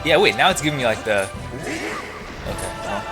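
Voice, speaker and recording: A man's voice: medium pitch, big pitch swings, normal speed. A man doing casual, sweary commentary while gaming, exclaiming and muttering frustrated asides. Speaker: let's play gamer. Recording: loud game music behind voice. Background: loud video game music.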